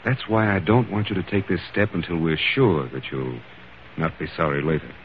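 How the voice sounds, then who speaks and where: A cautious gentleman lover in a vintage radio play, with an extremely low voice. He soothes and gently sidesteps, heard through a thin, band-limited old broadcast. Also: normal speed. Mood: tender, cautioning. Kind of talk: old-time radio drama acting. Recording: tinny, noisy old radio recording.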